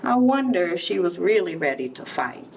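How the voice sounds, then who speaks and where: A woman reading a storybook aloud to young children, expressive, with explanatory asides. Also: on the low side, normal speed. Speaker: Head Start center director reading to children. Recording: distant mic, clear background noise.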